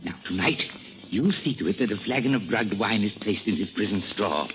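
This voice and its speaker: A man's voice: fairly low pitch, expressive, a little fast, over music, close to an everyday voice. An actor playing a scheming, imperious king of France with a sardonic, taunting manner in a 1930s radio drama. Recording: muffled, noisy 1930s radio broadcast.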